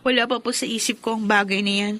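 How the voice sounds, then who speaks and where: An actress playing a polite, dutiful young student in a Filipino radio drama, with a Filipino accent. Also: female voice, a little high, fairly even intonation, quick pace, fairly bright tone, close to an everyday voice. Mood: polite, shy.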